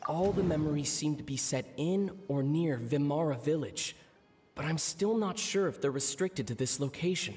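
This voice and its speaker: An actor playing a serious, reserved, knowledgeable wanderer in a video game, explaining things in a measured, deliberate manner. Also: male voice, a little high, animated, a little mellow, faint music behind, close to an everyday voice. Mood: measured, sceptical. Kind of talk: video game character acting.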